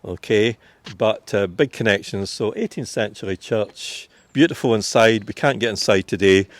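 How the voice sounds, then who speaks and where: A Scottish tour guide with a low-pitched voice talks visitors through a village churchyard outdoors. He explains the sights at an unhurried pace and fills his pauses with ums and uhs. The sound is dry, with little room tone. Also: no echo. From Scotland. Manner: informative, unhurried, with frequent fillers. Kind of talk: guided walking tour commentary.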